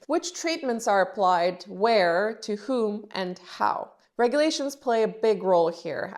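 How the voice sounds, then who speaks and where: A young adult woman from Turkey, a biomedical scientist hosting her own podcast, reading a scripted science explainer in a clear, measured way. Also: medium pitch.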